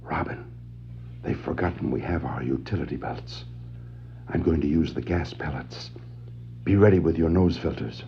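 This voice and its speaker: An actor playing a steadfast masked crime fighter with firm confidence, in a 1960s superhero audio drama. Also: male voice, very deep voice, moderate pace, pauses often. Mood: hushed, urgent. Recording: dull old record, loud background noise.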